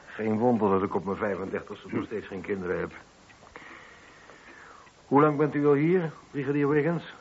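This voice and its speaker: A man's voice: lowish voice, normal speed, long pauses, slight background noise, lightly acted. An actor playing a dry, sardonic police inspector in his thirties, questioning firmly in a radio play. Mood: sardonic, brisk. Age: 30s. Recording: dull, lo-fi old radio recording.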